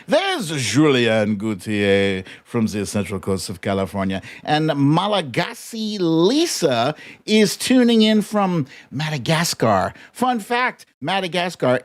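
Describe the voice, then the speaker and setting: An American livestream host chatting casually, greeting viewers in a playful, slangy, informal way. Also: medium pitch. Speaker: host of a live online show. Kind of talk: casual livestream chat with shout-outs to viewers.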